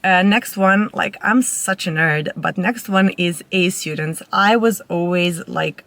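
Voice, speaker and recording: Young Serbian-accented woman with creaky voice. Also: low voice, a bit bright. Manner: informal, rambling with self-corrections. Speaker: vlogger. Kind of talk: casual chat to camera.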